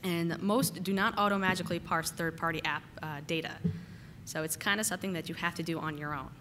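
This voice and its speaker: Woman, conference speaker, slightly hoarse from a cold, bright tone, faint rumble behind. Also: fairly even intonation, brisk. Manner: casual, frequent "uh" and "um" fillers. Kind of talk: technical conference talk. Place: conference hall.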